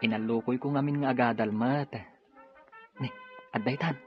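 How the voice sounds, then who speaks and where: A man's voice: medium pitch, steady tone, moderate pace, lightly acted. A young man with an Ilocano Filipino accent acting a grateful, good-natured eldest son in an audio drama. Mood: fond. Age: young adult. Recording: dull lo-fi audio with music.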